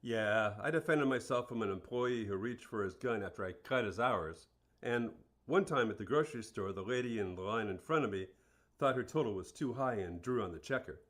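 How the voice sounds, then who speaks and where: A man's voice: fairly low pitch, lively intonation, a little fast, close to an everyday voice. Actor doing an earnest burger joint manager guy in a radio play interview, plain-spoken, matter of fact. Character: earnest, matter-of-fact male fast-food store manager.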